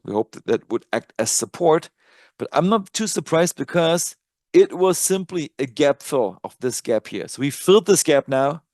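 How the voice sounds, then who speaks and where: A man's voice: medium pitch, a little mellow, dry recording. A native German-speaking man presenting casual live stock chart commentary, conversational and thinking aloud as he works. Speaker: trading analyst and presenter.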